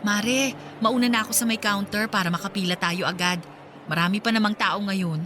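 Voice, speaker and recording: An actress playing a candid, supportive young wife who longs for a child, in an expressive Filipino radio drama. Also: female voice, mid-range voice, normal speed, over music, lightly acted. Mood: casual.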